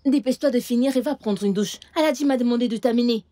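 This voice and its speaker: A woman's voice: a little high, fast, warm tone, natural voice. A woman playing a bossy aunt who scolds her niece, in a dubbed film. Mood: scolding. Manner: scolding, impatient.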